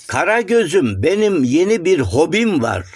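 Eager, polite cartoon gentleman, swooping intonation, coaxing, dry close sound. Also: fairly high pitch, big pitch swings, moderate pace, husky, dry recording. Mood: eager, explaining. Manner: courteous, coaxing, sing-song explaining. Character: polite, chatty, eager, well-mannered male shadow-puppet gentleman. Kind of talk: children's cartoon voice acting.

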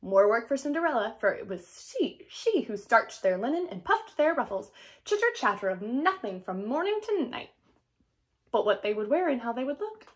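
A young woman reading a picture book aloud to children in an expressive storytelling style, pausing for explanatory asides. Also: fairly high pitch. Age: young adult. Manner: animated, clearly paced storytelling. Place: small indoor room.

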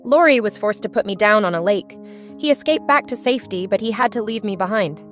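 A woman's voice: mid-range voice, fairly quick, faint music behind, close to an everyday voice. A woman voicing an ashamed, sorrowful warplane girl in a scripted drama, earnest and confessional. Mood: sorrowful recounting. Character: ashamed, battle-scarred female warplane, sorrowful and self-loathing.

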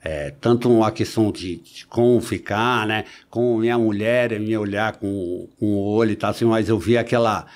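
A man in his forties with a Brazilian Portuguese accent, talking casually as a podcast guest into a close studio mic, haltingly, repeating words and adding little tags. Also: lowish voice. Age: 40s. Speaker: podcast guest, body-modification enthusiast. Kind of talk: casual conversational storytelling. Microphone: close studio microphone on boom arm.

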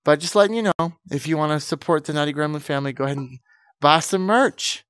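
A man's voice: a little high, quick pace, fairly bright tone, clear, resonant tone, no room sound. Sick guy losing his voice, chatty host plugging merch, dry close sound. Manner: chatty, enthusiastic plugs. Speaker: channel host. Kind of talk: casual vlog intro and comedy skit.

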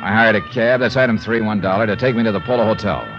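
A man's voice: lowish voice, fast talker, close to an everyday voice. An actor playing a wry, confident insurance investigator in a 1950s radio drama, wisecracking and clipped as he acts and narrates. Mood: dry, narrating. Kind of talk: 1950s radio detective acting with first-person narration. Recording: muffled vintage 1950s radio recording, organ music.

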